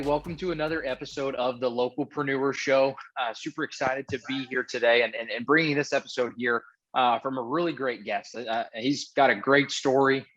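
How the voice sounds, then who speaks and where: A man's voice: medium pitch, a bit bright, dull audio. A man hosting a podcast, eager and stumbling over repeated words. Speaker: podcast host.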